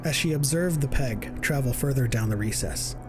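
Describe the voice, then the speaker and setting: A man's voice: medium pitch, moderate pace. A man reading scripted narration for a science fiction audio drama. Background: music.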